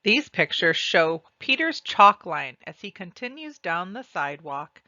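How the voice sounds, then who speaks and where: A woman reading a children's storybook aloud and describing its pictures, with deliberate, expressive phrasing. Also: on the low side, dry recording, dull audio. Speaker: read-aloud narrator.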